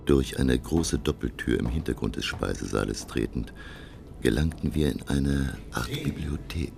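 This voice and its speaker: An actor playing a curious, awestruck male scholar who narrates his undersea voyage in a hushed, deliberate, gravelly voice for a radio play. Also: a bass voice, soft background music, exaggerated voice. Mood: hushed wonder.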